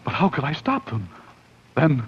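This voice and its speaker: A man's voice: on the high side, huge pitch swings, moderate pace. An actor playing a haunted man unravelling into madness, melodramatic and overwrought in 1940s radio horror style. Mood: frantic. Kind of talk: 1940s radio horror acting, dramatic narration. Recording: muffled, faintly noisy 1940s radio recording.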